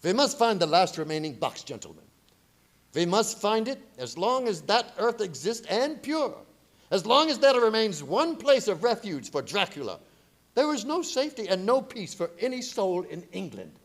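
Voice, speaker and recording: Man playing high-voiced, urgent vampire-hunting professor. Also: very animated, moderate pace. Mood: resolute. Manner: grave, formal, exhorting. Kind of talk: live radio play acting.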